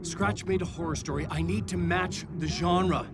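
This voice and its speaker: Man acting a high-voiced, desperate, intense writer. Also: fairly even intonation, normal speed, noisy background, close to an everyday voice. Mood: urgent. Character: desperate, intense male writer trapped in a nightmare. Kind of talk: cinematic video game acting. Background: dramatic music.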